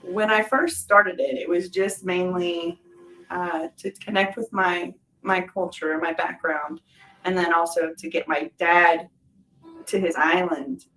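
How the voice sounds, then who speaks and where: A woman's voice: lowish voice, fairly even intonation, measured pace, a bit bright, recorded from a distance, poor audio. A woman telling her personal story in a reflective, informal way, as in a documentary interview. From Hawaii, island of Kauai. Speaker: shaved-ice business owner.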